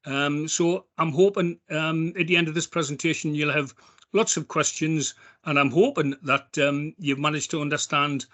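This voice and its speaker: Middle-aged Geordie man, bright, slightly high voice, earnest and halting. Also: steady tone. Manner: halting, with many ums and restarts. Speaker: member of a veterans' charity speaking at an online event. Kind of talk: heartfelt spoken appeal.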